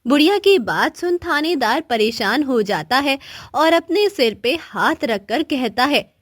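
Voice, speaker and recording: A woman's voice: high voice, animated, a little slow, dry recording, studio quality. A woman narrator reading a scripted children's moral story.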